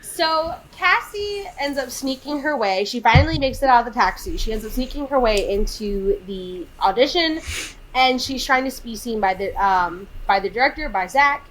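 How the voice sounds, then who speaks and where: A woman of thirty chats her way through a film recap on a podcast, voice sitting a little high. She leans into the quotes with exasperated, animated energy. A faint background hiss sits under her. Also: normal speed, rough recording. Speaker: podcast co-host. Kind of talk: casual chat recapping a film.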